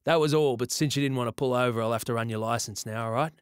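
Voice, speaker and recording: A man's voice: medium pitch, quick pace, clean tone, no room sound, studio quality, lightly acted. A man voicing a burly country policeman in his thirties with a rural Australian accent, blunt but matey, in a storybook reading. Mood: serious, teasing. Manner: official, blunt, then matey.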